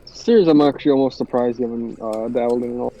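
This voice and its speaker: A man's voice: medium pitch, steady tone, fast, mellow, lo-fi sound. A young man with a stuffy, congested voice chatting hesitantly over voice chat on his phone, with lots of restarts. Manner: hesitant, rambling, many restarts. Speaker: friend on voice chat. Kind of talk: casual chat. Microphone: phone mic over voice chat.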